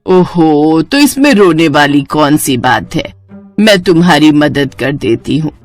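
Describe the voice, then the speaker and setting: Cartoon story role: woman as protective middle-aged mother-in-law, reassuring, pitched down. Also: expressive, measured pace, soft background music. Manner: soothing, reassuring.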